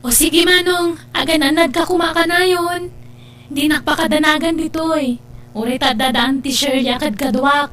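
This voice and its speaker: Casting: woman to play young, sweet, obedient student, high-pitched, Ilocano accent, radio drama. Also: fairly even intonation, normal speed, fairly bright tone, a little hiss or hum. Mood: affectionate. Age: young adult.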